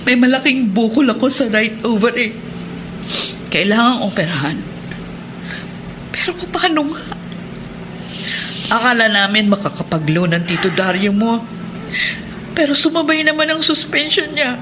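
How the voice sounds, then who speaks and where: An ailing, worried wife of a policeman in a radio drama. Her voice sits fairly high and sounds distressed and emphatic. There is clear background noise under the dialogue. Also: lively intonation, measured pace, long pauses. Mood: anguished. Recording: noisy radio drama with ambience.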